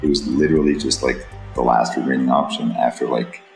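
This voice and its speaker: A man from Switzerland talking casually and reflectively as a songwriter, in a halting, thoughtful way. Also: rumbling low, steady tone, vocal fry, reverberant, faint music behind, low-quality recording. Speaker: singer-songwriter. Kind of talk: reflective, casual interview talk.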